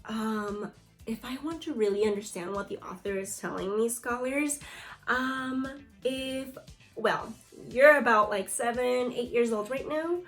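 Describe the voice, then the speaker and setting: A woman's voice: on the high side, a little slow, soft background music. A woman teaching young children through a read-aloud lesson, reading from a book and prompting pupils with questions and short instructions. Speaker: second-grade teacher.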